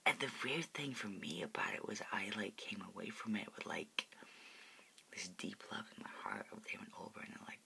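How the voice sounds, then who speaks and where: A twenty-something whispering a loose, sweary chat at home, peppered with "like". The hushed voice sits low and gravelly, with a bright, crisp edge. A faint hiss runs underneath. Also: normal speed. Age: 20s. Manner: whispering throughout, profane, lots of "like" fillers. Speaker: video blogger answering viewer requests. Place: at home in a parent's house.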